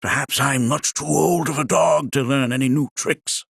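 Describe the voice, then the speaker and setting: An actor playing an eccentric, jolly old scholar in a video game, with a gravelly, aged voice and a courtly, lecturing way of talking. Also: male voice, mid-range voice, fairly even intonation, a bit bright, dry recording, studio quality. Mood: grave, wistful. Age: older. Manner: courtly, lecturing, with drawn-out musing. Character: eccentric, jolly old male sage and scientist. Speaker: planetology scholar and teacher. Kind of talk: theatrical video game character acting.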